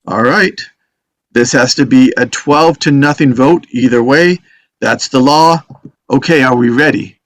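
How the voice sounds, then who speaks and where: A businesslike jury foreman, read by a man in a stage drama. The voice sits at medium pitch, orderly and matter-of-fact. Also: unhurried, a bit bright, dry recording, natural voice. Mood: procedural.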